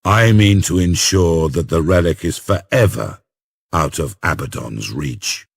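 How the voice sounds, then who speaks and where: A man voicing a grim, zealous Inquisitor in a sci-fi game cutscene, solemn, deliberate and ominous. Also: deep voice, expressive, creaky, husky, no room sound, studio quality, exaggerated voice. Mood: brooding, grave.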